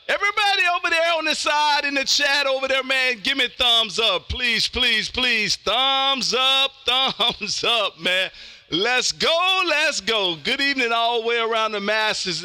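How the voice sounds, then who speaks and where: An American man hosting a live amateur radio stream, loud and exuberant, repeating himself for hype as he chats and calls stations. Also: sits high, clear, resonant tone. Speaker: amateur radio livestream host.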